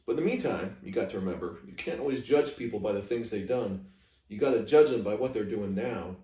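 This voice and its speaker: A man voicing a kindly, plainspoken old Southern woman with a folksy, gently admonishing way, as he reads a story. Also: on the low side, a little fast, natural voice. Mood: wise, instructive. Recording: echoey room, distant microphone.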